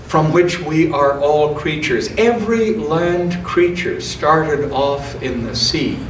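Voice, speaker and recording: A man speaks as a reader in church, moving between reading aloud and informal commentary. His delivery is unhurried and reflective, with careful, measured phrasing. The sound is roomy and somewhat distant, with a faint layer of noise. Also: on the high side. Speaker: church scripture and poetry reader.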